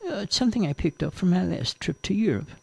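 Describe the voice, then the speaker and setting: A friendly young society woman from a spoken tale, as a man puts her on. The voice is lifted high. She is polite and starts her answers with a little hesitation. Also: expressive, fast, vocal fry, breathy edge, dry recording, exaggerated voice. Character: wealthy, friendly young socialite woman at the races.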